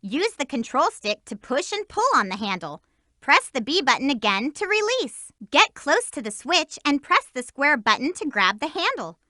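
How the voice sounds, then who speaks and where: A peppy teen girl hedgehog, high lively voice, bouncy, dry close studio sound. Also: moderate pace, no room sound, full character voice. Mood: instructive. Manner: upbeat, chirpy, emphatic. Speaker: video game character. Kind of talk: game voice lines and tutorial hints.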